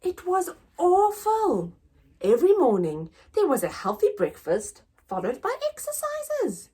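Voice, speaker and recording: A woman with a high, very animated voice reads a picture book to young children. She narrates dramatically, in the manner of a story-time teacher. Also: a little slow. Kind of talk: expressive children's read-aloud.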